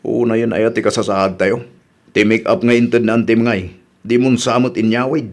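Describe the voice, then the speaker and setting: An actor with an Ilocano accent playing a grumbling husband from a poor village household in a radio comedy drama. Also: male voice, on the low side, steady tone, moderate pace, close to an everyday voice. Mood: indignant.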